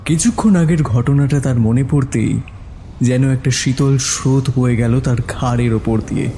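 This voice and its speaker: A man's voice: medium pitch, moderate pace, mellow, a little hiss or hum. A man narrating a scripted horror story in a suspenseful storytelling style. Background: background music.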